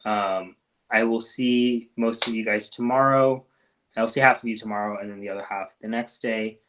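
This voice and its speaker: Male doctor, lower-pitched voice, relaxed live-stream Q&A delivery, lots of filler, soft trailing endings. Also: normal speed, background noise. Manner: relaxed, frequent ums and restarts, trails off softly.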